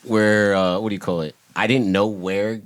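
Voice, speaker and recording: A man's voice: fairly low pitch, fairly even intonation, moderate pace, smooth. A man co-hosting a podcast who tells a joking anecdote with a laugh in his voice. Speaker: podcast co-host. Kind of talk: casual chat.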